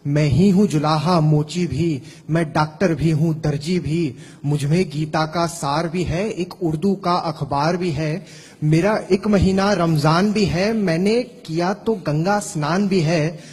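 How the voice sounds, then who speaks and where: A male poet recites verse in a rhythmic, measured cadence. His voice is slightly raised and husky. It trails off in the long reverb of a hall. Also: on the high side, a little mellow. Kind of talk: poetry recitation.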